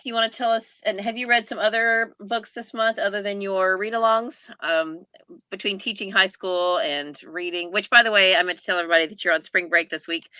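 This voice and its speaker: A woman's voice: mid-range voice, bright, forceful tone, no room sound. A woman hosting a casual group book chat, talking in a chatty, playfully teasing way. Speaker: host of a book chat livestream. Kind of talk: casual chat, reading viewer comments. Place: indoors on a group video call.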